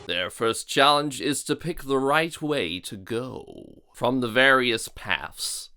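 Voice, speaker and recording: Forceful, measured man reviewing with sardonic bite. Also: mid-range voice, lively intonation, a little hiss or hum. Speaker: video reviewer and narrator. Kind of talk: scripted review narration.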